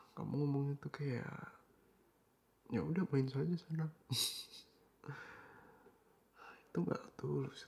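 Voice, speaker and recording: A young man in a boyfriend roleplay, talking softly and coaxingly in a half-whisper, dropping English phrases into his speech. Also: medium pitch, vocal fry.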